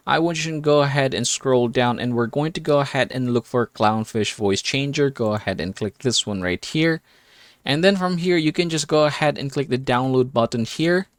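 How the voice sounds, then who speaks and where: A man's voice: medium pitch, moderate pace, no echo. Man narrating a step-by-step tutorial, dry sound. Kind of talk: step-by-step software tutorial voice-over.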